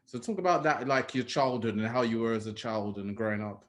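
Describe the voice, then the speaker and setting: A man hosting an interview over a video call, asking questions in a halting, searching way. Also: medium pitch. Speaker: interview host. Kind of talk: conversational interviewing.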